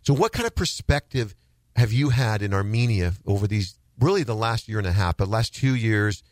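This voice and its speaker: A lower-pitched man hosting a faith-based radio talk show. He sounds earnest and emphatic and repeats words to drive a point home. The sound is dry and close. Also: animated, moderate pace, dry recording.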